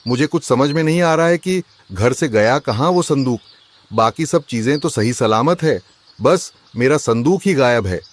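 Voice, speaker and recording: A man playing an agitated, suspicious rich merchant husband in a cartoon, loud and complaining. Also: mid-range voice, expressive, moderate pace, natural voice. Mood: upset. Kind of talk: Hindi cartoon story voice acting.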